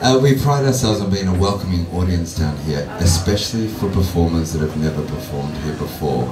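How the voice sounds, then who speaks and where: A man's voice: sits low, expressive, brisk. A man hosting an open mic, announcing to the audience in a small bar venue. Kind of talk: live stage announcing. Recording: echoey venue, distant, music behind.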